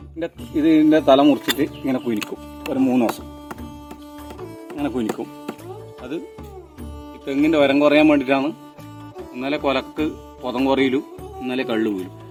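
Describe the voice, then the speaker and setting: A man's voice: mid-range voice, a little mellow. A man with a northern Kerala Kannur accent, a toddy tapper informally explaining his trade hands-on. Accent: northern Kerala (Kannur) Malayalam. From Kannur region of Kerala, India. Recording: music behind the voice. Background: instrumental background music.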